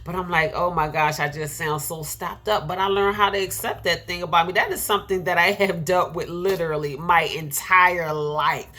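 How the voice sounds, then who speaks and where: A woman's voice: lowish voice, fairly even intonation, normal speed, few pauses, bright, forceful tone. A middle-aged woman with a nasal, congested voice giving an earnest inspirational sermon, repeating herself and laughing now and then. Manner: earnest, repetitive, with laughs and filler words. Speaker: preacher.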